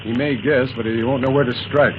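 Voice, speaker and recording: An actor playing a good-humored, steady secret-agent leader in a 1930s radio serial, amiable and instructive. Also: male voice, mid-range voice, brisk, lightly acted. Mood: earnest instructing. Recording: dull, noisy 1930s radio recording.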